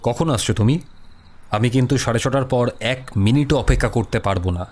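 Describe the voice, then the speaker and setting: A man playing a brisk, punctual and devout astrologer in an audio drama, speaking in a measured, firm way. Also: medium pitch, a little mellow, close to an everyday voice. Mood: curt, businesslike.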